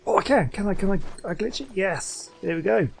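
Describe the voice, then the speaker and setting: A man's voice: high-pitched, lively intonation, brisk, creaky, soft background music. A man hosting a gaming video, giving casual let's play commentary and thinking aloud.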